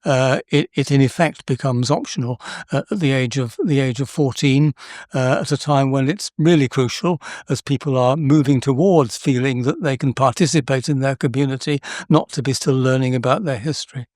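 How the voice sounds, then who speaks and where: A man from England speaking in a panel discussion about education, measured and emphatic, with hesitant restarts. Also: medium pitch, a little mellow, dry recording, studio quality.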